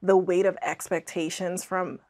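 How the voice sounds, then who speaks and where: A woman's voice: lowish voice, fairly even intonation, unhurried, darkish tone. Young woman, reflective podcast guest. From Andros, Bahamas. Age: young adult. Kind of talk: conversational interview. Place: small studio, seated indoors.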